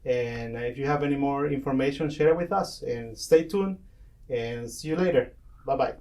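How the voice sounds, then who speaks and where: A man's voice: mid-range voice, moderate pace, a little mellow, far from the mic. A man hosting a medical residency podcast, wrapping up an episode in a loose, rambling, encouraging way. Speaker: podcast host. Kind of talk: casual podcast wrap-up.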